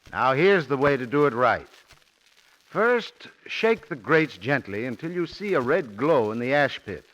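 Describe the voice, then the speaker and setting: A man's voice: mid-range voice, a bit bright. A man giving a scripted, folksy instructional advertising talk in 1940s radio style. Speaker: sponsor's home heating spokesman. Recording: dull, muffled 1940s radio recording.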